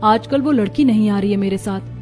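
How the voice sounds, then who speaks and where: A woman's voice: mid-range voice, fairly quick, darkish tone, faint music behind, natural voice. A woman playing a spoiled, breezy young rich college girl in a cartoon story. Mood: chatty.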